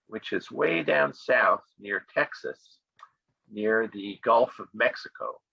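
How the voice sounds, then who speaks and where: An American man, middle-aged, giving a relaxed, friendly talk on travel spots to an online English conversation club over a video call. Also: medium pitch, no room sound. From United States. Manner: relaxed and friendly, speaks clearly with fillers and laughs. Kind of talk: casual presentation on travel spots. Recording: dull lo-fi video call audio.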